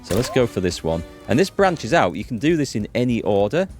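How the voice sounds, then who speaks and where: A man's voice: mid-range voice, very animated, a little fast, a little mellow. A man giving casual let's play commentary as host, explaining things and thinking aloud as he goes. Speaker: gaming video host. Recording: music behind the voice. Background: music.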